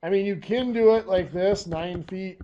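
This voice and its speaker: A hoarse, coughing man teaching a math lesson, walking through each step patiently. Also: high-pitched, normal speed, mellow, highs cut off, slight background noise.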